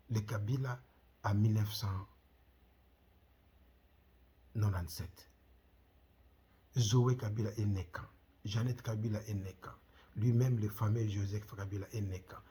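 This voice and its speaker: A man's voice: lowish voice, a little mellow, slightly rough. A middle-aged man from the Congo with a Congolese French accent, hosting his own channel and speaking passionately to camera with repeated rhetorical questions. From Democratic Republic of the Congo. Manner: impassioned, repetitive rhetorical questions. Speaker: channel host. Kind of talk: direct-to-camera commentary.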